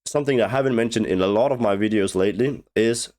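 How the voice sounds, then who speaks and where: A young man with a head cold talks through a video game walkthrough. His voice sits a bit low and sounds rusty and congested. He speaks in a loose, halting way, full of fillers and pauses to clear his throat. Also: a little mellow. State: hoarse with a cold, blocked nose. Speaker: game guide creator. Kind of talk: casual gameplay walkthrough commentary.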